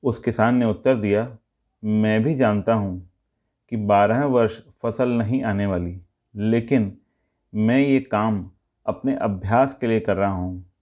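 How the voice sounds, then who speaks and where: A man narrating a scripted moral story as a storyteller, in measured, deliberate short phrases. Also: on the low side, a little mellow.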